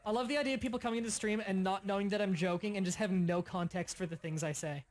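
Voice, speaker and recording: A young man hosting a casual gaming livestream, explaining game mechanics in quick bursts and sometimes stuttering. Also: sits high, steady tone. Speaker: livestream host. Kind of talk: casual gaming stream chat.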